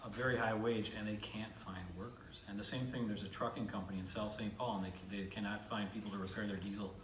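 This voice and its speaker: Low-voiced legislator heard from afar. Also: male voice, steady tone, fast, fairly bright tone. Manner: agreeable, conversational, many fillers. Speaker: state legislator. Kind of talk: town hall answer. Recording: distant mic in an echoey room with noise.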